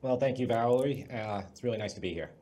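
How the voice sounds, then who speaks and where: A man's voice: mid-range voice, quick pace, mellow. A man from Long Island, chatting casually as a podcast guest. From Long Island, New York. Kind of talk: casual conversational recollection.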